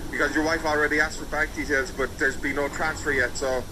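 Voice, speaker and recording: A man's voice: fairly high pitch, little pitch movement, fairly quick, background noise. Insistent man leaving phone voice messages. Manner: defensive, insistent, uses "mate" often. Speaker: seller in voice messages. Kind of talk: recorded voice messages.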